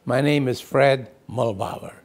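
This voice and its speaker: A man's voice: medium pitch, slow pace, a little mellow. A man in his eighties from Czechoslovakia, recounting his childhood in an interview. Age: 80s. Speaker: Holocaust survivor interviewee. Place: indoor studio.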